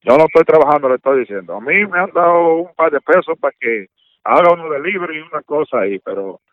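A man's voice: on the high side, expressive, quick pace, no echo. An older man with a Dominican Spanish accent, defensive and indignant, repeating himself in an agitated conversation over a phone line. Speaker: prank call target. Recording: tinny narrowband phone line.

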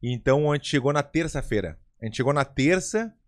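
A man's voice: mid-range voice, animated, brisk. A man, a podcast host chatting casually, repeating his words and laughing often. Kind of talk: casual chat.

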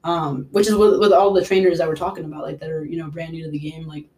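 Upbeat young man, steady high-ish voice. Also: fast. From Mexico. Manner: rambling, filler-heavy, says "like" a lot. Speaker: personal trainer and guest. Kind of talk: casual podcast interview.

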